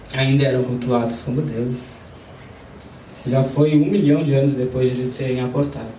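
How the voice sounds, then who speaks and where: Man, group lesson leader, conversational, mellow voice, roomy distant recording. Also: mid-range voice. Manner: conversational, asks the audience questions. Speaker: spiritist study group leader. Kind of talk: informal group lesson with questions to audience. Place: study centre meeting room. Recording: roomy, distant mic, faint background noise.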